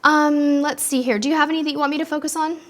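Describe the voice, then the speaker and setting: A woman's voice: sits high, steady tone, fast. A woman hosting a live cruise-tips stream and answering viewer questions in a chatty, upbeat way, a little stuffy from allergies. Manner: chatty and upbeat, with frequent ums.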